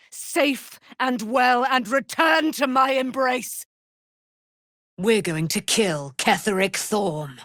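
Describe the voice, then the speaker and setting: A woman's voice: mid-range voice, a bit bright, dry recording, clean studio sound. A woman playing a proud immortal paladin, a moon goddess's warrior daughter, in a fantasy video game, speaking in grand, archaic, theatrical declamation. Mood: exultant.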